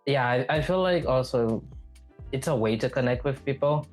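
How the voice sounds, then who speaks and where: A man from Haiti chats as a podcast host in a reflective, personal way. His voice sits at an average pitch for a man. Faint guitar music plays behind him. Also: moderate pace, a little mellow. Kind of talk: casual personal conversation.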